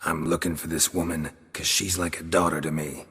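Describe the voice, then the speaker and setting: Menacing game hero, world-weary monster hunter, extremely low pitch, heavy rasp, terse delivery, reverberant space. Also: male voice, fairly quick, full character voice. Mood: quietly menacing. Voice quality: gravelly, raspy. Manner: terse, flat, threatening. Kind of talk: video game voice acting.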